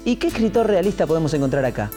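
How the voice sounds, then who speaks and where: A presenter guiding a scripted lesson, asking questions with lively curiosity. The voice swings widely in pitch, and music runs clearly underneath. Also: rapid-fire. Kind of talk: scripted educational show.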